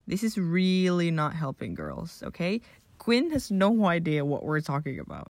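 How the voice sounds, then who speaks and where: A caring, placating friend in an audio drama, acted by a woman, reasons gently and a little hesitantly. The voice is slightly low but very animated in pitch, recorded dry. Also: normal speed, no room sound.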